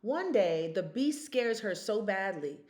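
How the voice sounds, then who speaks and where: A woman's voice: medium pitch, big pitch swings, measured pace, a little mellow. A woman in her fifties speaking as a podcast guest, emphatic and storytelling, full of rhetorical questions. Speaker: guest, consultant. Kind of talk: podcast conversation.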